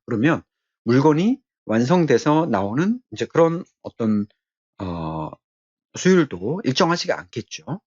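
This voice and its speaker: A man giving an explanatory technical lecture as an instructor, speaking haltingly and repeating his key phrases. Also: mid-range voice, a little mellow, dry recording, muffled recording.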